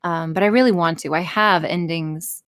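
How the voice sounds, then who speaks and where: Female podcast guest, slightly low voice, candid, sweary, self-mocking casual talk. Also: moderate pace, clear, resonant tone. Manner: self-deprecating, swears freely. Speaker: podcast guest, audio creator.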